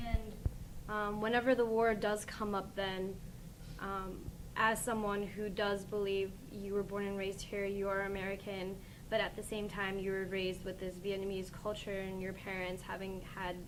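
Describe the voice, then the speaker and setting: A woman interviewer asking long, careful questions in a measured way, with occasional "um" fillers. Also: medium pitch, little pitch movement. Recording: noisy recording with ambient hiss.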